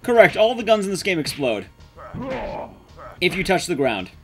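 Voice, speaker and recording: A man's voice: high voice, big pitch swings, normal speed, fairly bright tone, faint music behind. A man giving casual live commentary as he plays a game, swearing and muttering asides to himself. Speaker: streamer, speedrunner. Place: indoor room, streaming setup.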